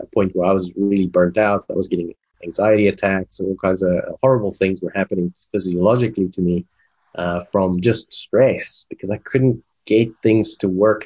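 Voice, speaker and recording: A deep-voiced, husky man whose first language is Afrikaans tells his story at length, with plenty of ums and restarts. The recording sounds dull and lo-fi. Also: a little mellow. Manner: rambling storyteller with many fillers. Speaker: guest, game development manager and coach. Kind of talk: casual interview, personal story.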